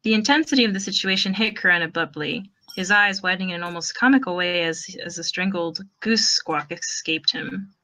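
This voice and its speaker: A woman narrating a piece of fan fiction in an expressive storytelling style. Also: lowish voice, brisk.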